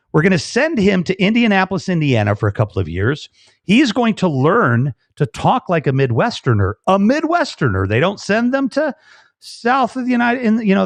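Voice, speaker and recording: A man from Chicago hosting a casual live chat, animated and jokey. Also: on the high side, dry recording. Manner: animated, jokey, puts on voices. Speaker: host.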